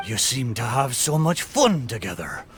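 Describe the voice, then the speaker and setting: A man voicing an animated, blustering boat. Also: medium pitch, a little hiss or hum, natural voice. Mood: wistful, friendly. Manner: blustering, drawn-out exclamations, pirate talk. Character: talking pirate boat, hearty, excitable old seafarer. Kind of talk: theatrical video game character acting.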